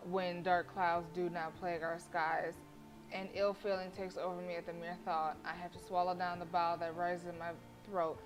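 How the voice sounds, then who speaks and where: A woman game streamer reading visual novel narration aloud, expressive, with casual blunt reactions. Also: fairly low pitch, rough recording.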